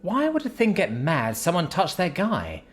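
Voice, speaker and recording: A man's voice: fairly high pitch, wildly swooping pitch, moderate pace, a little hiss or hum, pitched above the performer's own voice. A man voicing a brash young woman who teases her friend and talks bluntly. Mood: teasing. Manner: chatty, mocking, blunt.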